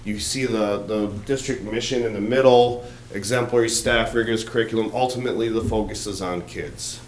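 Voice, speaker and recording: Man, superintendent, loud plainspoken delivery, lowish voice, echoey meeting room. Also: steady tone, fairly quick. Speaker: school superintendent. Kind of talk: public meeting presentation. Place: township board meeting room. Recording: echoey room with faint noise.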